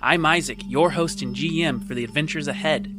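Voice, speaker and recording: A man's voice: on the high side, brisk, over music. A man hosting a tabletop role-playing show as its game master.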